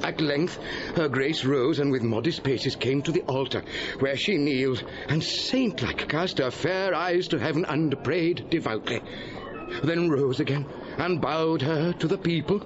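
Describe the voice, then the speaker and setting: An actor playing a sociable, gossipy gentleman eyewitness in a Shakespearean audio drama, with an animated, relishing delivery. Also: a little high, a little slow, crowd noise, lightly acted. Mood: chatty, assured. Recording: dull audio with background noise.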